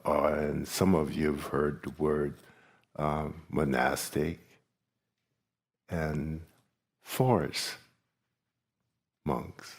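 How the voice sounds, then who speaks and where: A man in his seventies from the South Carolina coast, whose first language is Gullah, gives a retreat teaching talk slowly and haltingly, with long pauses. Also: rumbling low, flat delivery, slightly rough. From South Carolina coast, United States. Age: 70s. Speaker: meditation teacher. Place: meditation hall.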